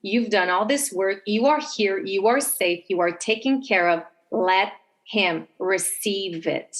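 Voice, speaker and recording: A woman's voice: medium pitch, unhurried. A woman speaking conversationally as a podcast guest, giving advice in an explanatory way and voicing self-talk as she goes. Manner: explanatory, voices her own self-talk.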